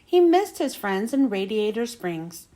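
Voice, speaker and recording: A woman reads a children's story aloud. Her voice is high and swings up and down a lot, with dramatic emphasis on the storytelling. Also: very animated, measured pace, warm tone, low-quality recording. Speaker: children's book reader.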